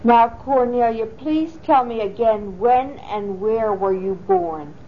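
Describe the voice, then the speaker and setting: A woman conducting an oral history interview, asking questions in a slow, measured way. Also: on the high side, slight background noise. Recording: dull lo-fi 1980s tape recording.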